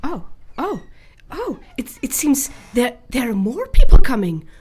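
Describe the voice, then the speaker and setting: A woman acting slow, swooping, melodramatic young heroine. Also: high-pitched, big pitch swings, noisy background. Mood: flustered. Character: romantic, melodramatic young heroine of a classic English novel. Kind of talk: radio drama acting.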